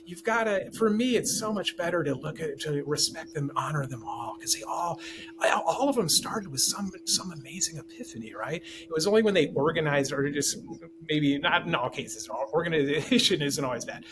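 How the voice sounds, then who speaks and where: A man's voice: fairly high pitch, animated, normal speed, noisy background. A man talking about spiritual ideas as a podcast guest, rambling with many restarts and fillers. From Taiwan. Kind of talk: casual conversation.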